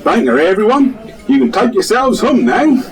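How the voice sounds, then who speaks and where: Officious male village constable, acted by a man, comic radio drama, high pitch, swooping delivery, formal manner. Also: sing-song, moderate pace. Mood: stern, official.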